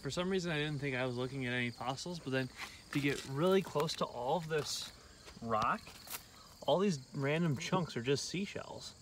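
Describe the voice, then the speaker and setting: A man's voice: on the high side, normal speed. A young man chatting playfully in a casual travel vlog, filmed on a phone in a food court, a car and a park. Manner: enthusiastic, playful. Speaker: travel vlogger. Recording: noisy phone video with outdoor ambience.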